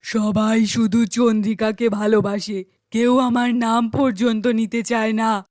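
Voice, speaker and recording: A woman's voice: fairly high pitch, flat delivery, measured pace, a little mellow, dry recording, clean studio sound, natural voice. A woman voicing a jealous, power-hungry sorceress in a cartoon fairy tale, menacing and commanding. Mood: bitter, jealous.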